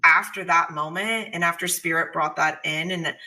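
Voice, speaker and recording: A woman speaks in a slightly low register with a bright, clear-cut edge. She is candid and conversational, telling personal stories and acting out her own thoughts as she goes. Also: steady tone, normal speed. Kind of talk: casual, confessional conversation.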